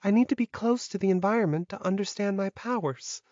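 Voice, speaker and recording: A woman's voice: medium pitch, brisk, a little mellow, no room sound, lo-fi sound, natural voice. A woman voicing a mischievous, idealistic little peasant girl in a fantasy audiobook, earnest and proud. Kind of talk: audiobook character dialogue.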